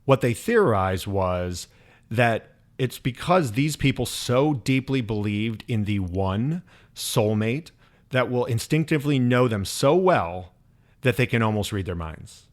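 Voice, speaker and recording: A man's voice: medium pitch, animated, a little slow. A man co-hosting a conversational discussion, explaining things methodically and putting questions to his partner. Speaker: co-host. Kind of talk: conversational podcast-style discussion.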